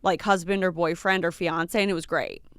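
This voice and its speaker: A woman's voice: fairly low pitch, fairly even intonation, moderate pace, fairly bright tone, clean tone, dry recording, studio quality. Chatty woman in her late twenties. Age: late 20s. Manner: chatty, fillers and little laughs. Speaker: podcast co-host. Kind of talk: casual chat.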